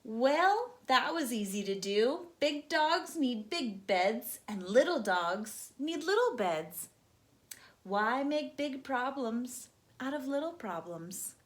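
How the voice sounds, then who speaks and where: A young adult woman, host of a storytime channel, reading a children's book aloud slowly and gently. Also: high-pitched, very animated. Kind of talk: children's read-aloud narration.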